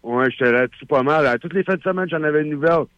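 A man's voice: fairly high pitch, fast talker, no echo. A man with a Quebec French accent calling in to a radio show, rambling and repeating himself as he chats casually. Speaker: caller. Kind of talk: casual call-in chat. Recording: muffled phone-line call.

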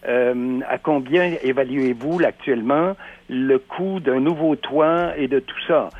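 A man giving emphatic radio commentary, often repeating words as he searches for them. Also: medium pitch. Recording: dry phone-line sound.

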